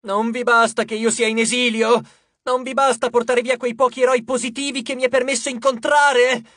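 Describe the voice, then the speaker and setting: A man's voice: very high-pitched, little pitch movement, normal speed, a bit bright, dry recording, clean studio sound, voice pushed up. A man voicing a furious, haughty teenage goddess in an audiobook, exclaiming with imperious indignation. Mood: furious, indignant. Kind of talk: audiobook character voice.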